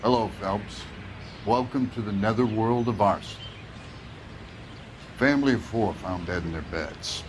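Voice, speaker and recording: An actor playing a sardonic, world-weary arson investigator in a crime game, blunt and wry. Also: male voice, lowish voice, a little slow, long pauses, background noise, natural voice. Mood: sardonic, matter-of-fact. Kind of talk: dramatic game cutscene acting.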